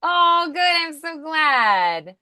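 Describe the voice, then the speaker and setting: Bright, slow-paced woman coaching. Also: sits high, big pitch swings, no room sound, clean studio sound. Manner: encouraging. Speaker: voice acting coach. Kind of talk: one-on-one lesson talk.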